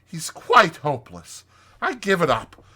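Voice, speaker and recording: A man voicing a bossy, exasperated male water rat for a storybook, speaking in a firm, sharp, emphatic way. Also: fairly high pitch, huge pitch swings, a little slow, breathy edge, voice pushed up, exaggerated voice.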